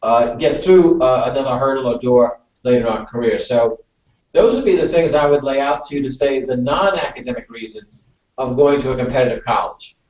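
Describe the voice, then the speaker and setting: A man giving a measured, semi-formal advisory talk to students in a deliberate explaining manner. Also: mid-range voice, a little mellow. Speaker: organization speaker hosting a student conference call. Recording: distant, echoey, muffled conference call.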